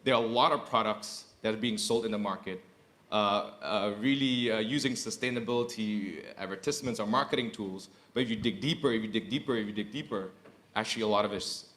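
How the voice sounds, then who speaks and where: Thirties exec on a panel, low-pitched, earnest, repeats himself for emphasis. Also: male voice, a little fast, a bit bright. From Indonesia. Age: 30s. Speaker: corporate executive panelist. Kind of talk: panel discussion.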